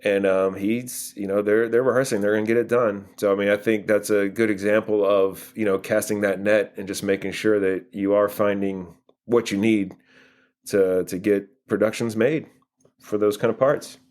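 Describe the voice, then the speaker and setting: American guy with a lowish voice, chatting in an interview, kinda halting, working it out as he talks. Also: little pitch movement, a little mellow. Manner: halting, thinking aloud. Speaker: guest playwright and filmmaker. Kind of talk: casual interview answers.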